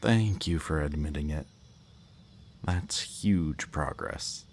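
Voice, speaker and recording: A man's voice: very deep voice, exaggerated voice. A man playing a smug, politely menacing kidnapper in an intimate ASMR roleplay, speaking to the listener in a teasing, mock-courteous way. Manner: slow, teasing, mock-courteous, lingering over words. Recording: faint background noise, chirping night crickets.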